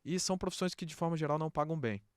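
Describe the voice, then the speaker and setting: A man's voice: on the high side, rapid-fire, smooth, no echo, studio quality. A middle-aged Brazilian man with a Brasília accent, talking casually as an interview guest, often adding little check-in tags. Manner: informal, peppered with check-in tags. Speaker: podcast guest, trade association president.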